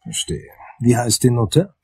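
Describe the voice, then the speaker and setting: A man playing a brusque, impatient private investigator in a crime radio play, curt and blunt, with a husky voice. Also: mid-range voice, sing-song, unhurried, darkish tone, dry recording, exaggerated voice.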